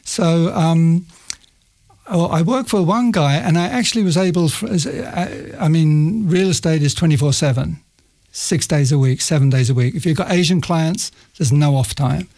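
A man's voice: on the high side, moderate pace, creaky, darkish tone. A middle-aged man telling stories about his career as an interview guest in a loose, self-interrupting way, with frequent laughs. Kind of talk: casual conversational storytelling.

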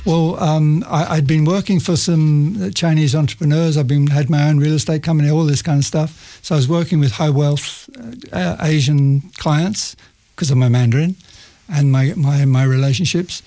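A man's voice: a little high, fairly even intonation, moderate pace, warm tone. A middle-aged man telling stories about his career as an interview guest in a loose, self-interrupting way, with frequent laughs. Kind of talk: casual conversational storytelling.

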